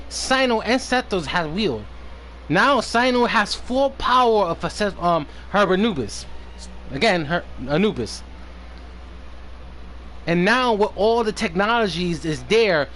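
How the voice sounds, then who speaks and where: A man doing casual livestream commentary on a game, halting as he stumbles over names and repeats words. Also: sits high, fairly bright tone. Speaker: game streamer. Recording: slight background noise on stream.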